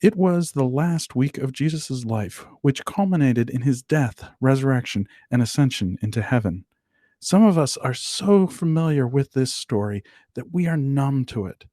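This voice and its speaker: A man's voice: medium pitch, animated, normal speed, warm tone, no room sound, clean studio sound, lightly acted. A man playing an earnest radio news reporter, narrating a scripted radio play.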